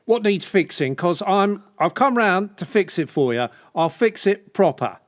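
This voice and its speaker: An actor playing a cheeky, flirtatious working-class English builder, brash and slangy, in a comic audio drama. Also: male voice, high voice, sing-song, measured pace, pitched above the performer's own voice. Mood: brash, suggestive.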